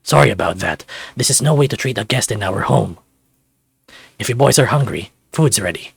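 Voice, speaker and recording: Man doing a low, gruff dragon dad. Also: lively intonation, moderate pace, no echo, full character voice. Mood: stern, polite.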